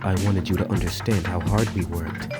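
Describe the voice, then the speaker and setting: Man as deep-voiced, stern cartoon detective. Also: steady tone, moderate pace, lightly acted. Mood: earnest. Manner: formal, precise, serious. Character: stern, earnest male cartoon detective with rigid principles. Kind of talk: comic dub character acting. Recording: music behind the voice.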